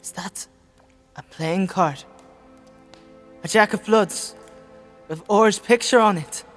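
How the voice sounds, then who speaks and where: An actor playing a naive, caring young farm boy in a dark fantasy audio drama, informal and earnest in manner. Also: medium pitch, slow pace, soft background music. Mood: subdued, sorry.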